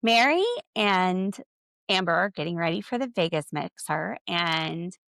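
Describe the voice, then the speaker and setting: A woman's voice: expressive, a little slow, creaky, fairly bright tone, no room sound. A young woman hosting a podcast, recapping in a chatty, sarcastic way. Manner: chatty and sarcastic, acting out quotes in a high voice. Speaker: podcast host. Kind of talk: casual recap chat.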